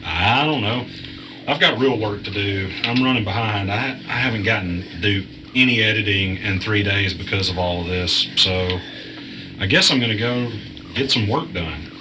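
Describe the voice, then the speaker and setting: A man's voice: fairly low pitch, moderate pace, lightly acted. An actor playing a wary, nervous audio editor telling his uneasy first-person story in an audio drama. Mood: uneasy, reluctant. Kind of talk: audio drama narration and dialogue. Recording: clearly noisy background.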